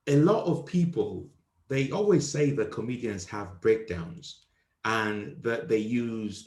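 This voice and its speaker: Guy doing a fake british accent, pompous president bit, deadpan, sounds echoey like a bare room. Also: medium pitch. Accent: put-on British accent. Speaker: podcast host in comedic character as a self-styled president. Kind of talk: deadpan comedic interview. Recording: roomy echo.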